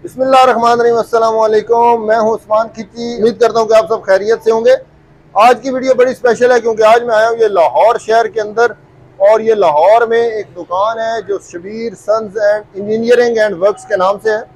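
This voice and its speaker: A man's voice: high voice, steady tone, brisk, poor audio. A young man, hosting a shop walkthrough video in a friendly, upbeat way, on a lapel mic in a large store. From Pakistan. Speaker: host. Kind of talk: vlog walkthrough interview. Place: large hardware shop, indoors.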